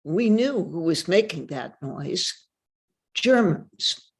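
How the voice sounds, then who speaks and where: A woman giving a measured, deliberate dramatic reading of a first-person story. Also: on the low side, lively intonation, vocal fry, husky. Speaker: reader.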